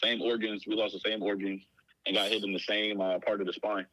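A man in his thirties, a guest offering advice in a quick, steady, reassuring way. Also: on the low side, dry recording, poor audio. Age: 30s. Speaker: guest, mentor.

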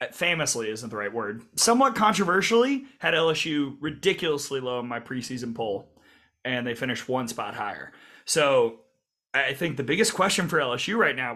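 A man co-hosting a sports podcast, his voice hoarse, upbeat and quick to laugh. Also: medium pitch, sharp tone. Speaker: podcast co-host.

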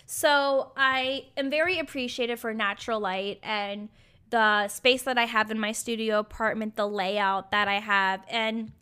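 A young woman hosting a solo podcast, talking candidly and chattily with a raspy, sometimes croaky, hoarse voice. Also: on the high side, steady tone, measured pace, bright, forceful tone. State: hoarse from acid reflux. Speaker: podcast host.

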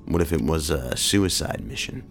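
A man playing a seasoned, skeptical federal agent with a gravelly voice, speaking bluntly and probingly in an audio drama. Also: a bass voice, animated, normal speed. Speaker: federal investigator. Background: faint music.

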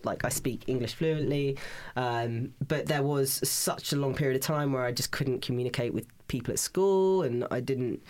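A guest on a podcast, born in Italy with Italian as a first language, speaking thoughtfully and hesitantly with many fillers and restarts. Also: medium pitch. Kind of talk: casual interview chat.

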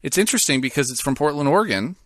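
A man co-hosting a podcast, chatting casually and rambling a little as he reads out a news item, with many pauses and fillers. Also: a little high, expressive, a bit bright, dry recording, studio quality. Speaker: podcast co-host. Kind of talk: casual chat.

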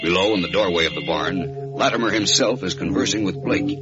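A man's voice: low-pitched, a little fast. A man narrating a 1940s radio serial in a suspenseful, theatrical announcer style. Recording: dull old radio recording, music.

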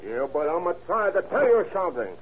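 A man's voice: high-pitched, sing-song, a little fast. An actor playing an edgy, argumentative gangster henchman in an old radio crime drama, tough and slangy. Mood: protesting. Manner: tough slangy talk, questioning. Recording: noisy muffled old radio recording.

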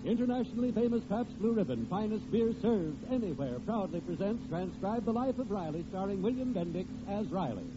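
A man's voice: high voice, animated, brisk, hardly any pauses, over loud music. A man announcing in a scripted mid-century radio style. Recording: muffled old radio with loud hiss.

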